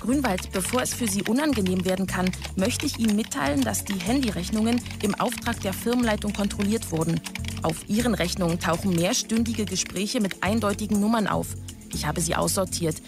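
A devoted female office assistant played by an actress in an audio drama. Her voice is of average pitch, polite and businesslike, with music clearly behind it. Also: brisk, close to an everyday voice. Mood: discreet, formal.

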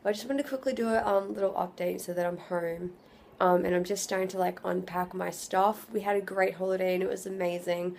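A young woman vlogging from her bedroom, hoarse and losing her voice from a cold, chatting casually into a phone camera. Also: on the low side, fairly even intonation, a little fast, darkish tone, a little hiss or hum. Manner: chatty, with fillers. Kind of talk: casual vlog update. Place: small bedroom at home. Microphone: phone camera at arm's length.

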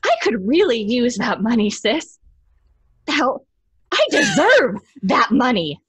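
A resentful, greedy young daughter in a horror audio drama. Her voice is husky and slow, intense and halting, with lively pitch, recorded dry. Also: no echo, full character voice. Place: small room at home, on video call.

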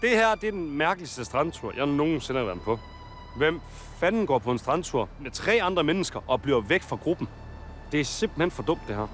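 A young man talks bluntly and wryly on a sunny beach, his voice sitting a little high and swinging around a lot. He drops swear words casually, and a clear music bed runs under him. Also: sing-song, a bit bright. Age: young adult. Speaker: reality show contestant. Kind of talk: casual chat and confessional interview. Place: outdoors on a tropical beach.

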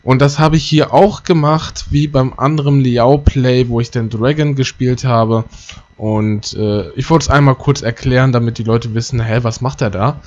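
A man's voice: mid-range voice, fairly even intonation, normal speed, dull audio. A man giving casual let's play commentary, chatty and joking as he thinks aloud. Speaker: gaming YouTuber.

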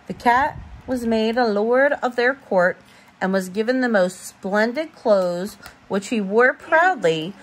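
A woman reading a bedtime storybook aloud as a parent, expressive and informal, stumbling now and then over words. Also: a little high, slow, poor audio.

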